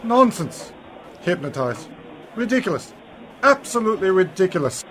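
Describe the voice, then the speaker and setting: A man playing an eccentric, knowing uncle and adventurer in an amateur science-fiction radio play, brisk and dismissive. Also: high voice, big pitch swings, fairly bright tone. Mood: brisk, insistent. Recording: faintly noisy home-made radio play recording.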